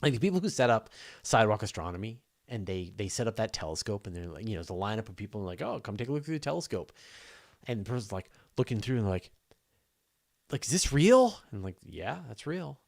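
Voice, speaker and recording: A man's voice: fairly low pitch, big pitch swings, fast, gravelly. A man hosting a live astronomy question show, chatting casually and off the cuff with informal enthusiasm.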